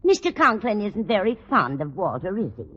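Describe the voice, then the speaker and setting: A woman's voice: mid-range voice, big pitch swings, brisk, a bit bright, slightly rough. An actress playing a genial, literal-minded older landlady with an American accent and a fussy, lilting manner, in 1940s radio comedy. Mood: genial, curious. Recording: noisy, muffled 1940s radio recording.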